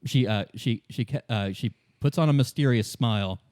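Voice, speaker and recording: A man narrating an improvised tabletop roleplay game as game master, in a halting, conversational way. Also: medium pitch, a little mellow, no room sound.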